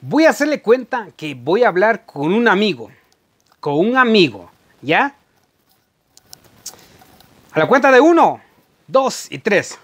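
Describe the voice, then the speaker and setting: Man chatting with swinging pitch. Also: very animated, fairly bright tone. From Guatemala. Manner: repetitive, halting phrasing. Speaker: vlogger. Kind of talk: casual chat to camera. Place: indoor room at home.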